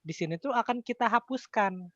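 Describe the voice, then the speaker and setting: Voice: mid-range voice, a little fast, no room sound, highs cut off. A tutor with an Indonesian accent explains things step by step in an informal, colloquial way, often posing questions and answering them. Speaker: accounting tutor.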